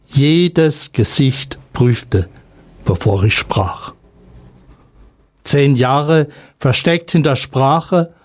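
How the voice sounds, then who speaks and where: A man reciting spoken word poetry in a measured way, pausing after each short phrase. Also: medium pitch, a little mellow.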